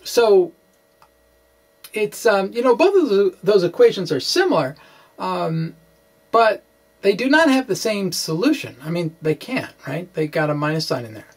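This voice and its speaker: Halting man explaining physics informally. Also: high-pitched, very animated. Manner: halting, hesitant, full of repeated words and tags like "okay?"; chuckles as he talks. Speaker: physics video blogger. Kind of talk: informal explainer talk to camera. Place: small indoor room with bookshelves.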